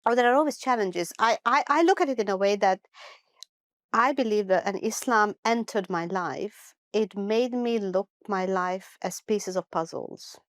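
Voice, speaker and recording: A woman's voice: medium pitch, normal speed, dry recording, studio quality. A middle-aged woman from Hungary, telling her life story as an interview guest in a reflective way, often restarting and correcting herself. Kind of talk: personal life story told in conversation.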